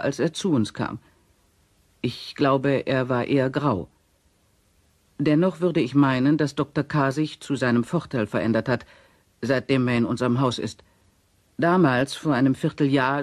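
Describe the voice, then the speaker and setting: A man reading a witness statement in a measured, formal, matter-of-fact way as radio play narration. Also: mid-range voice, darkish tone, dry recording, studio quality.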